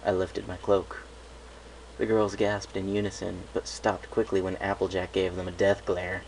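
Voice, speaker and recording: A man's voice: low voice, level tone, normal speed, darkish tone, slight background noise, rough recording. A man narrating a first-person story from a script, in a home recording. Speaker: fan fiction reader.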